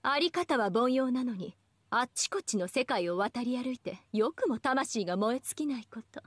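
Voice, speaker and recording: A haughty anime game goddess, speaks in a high, young, elegant voice. Her formal phrasing swoops with proud, teasing expression, recorded very dry. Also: expressive, normal speed, breathy edge, dry recording. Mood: intrigued, amused. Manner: refined, formal ladylike speech. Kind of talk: scripted anime game voice acting.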